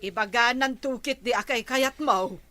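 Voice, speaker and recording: Bright, blunt Ilocano village neighbour. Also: female voice, on the high side, normal speed, no echo. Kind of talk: Ilocano radio comedy drama acting.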